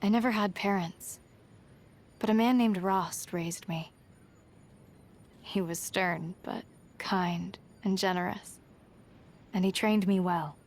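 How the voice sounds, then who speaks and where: An actress playing a guarded, resolute young huntress in a video game, plain-spoken and understated. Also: female voice, medium pitch, fairly even intonation, slow, pauses often, darkish tone. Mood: reflective, guarded. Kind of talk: video game character voice lines.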